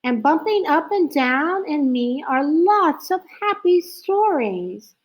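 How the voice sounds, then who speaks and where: A sweet little boy owl from a storybook , voiced by a woman, earnest and eager. The voice is high and slow, with gentle excitement. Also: exaggerated voice. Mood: earnest, hopeful.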